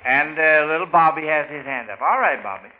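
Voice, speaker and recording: A man's voice: a little high, expressive, brisk, a little hiss or hum. An American man hosting a 1940s radio quiz, talking in a folksy schoolmaster manner to children. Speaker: quiz show host. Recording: tinny, band-limited old radio recording.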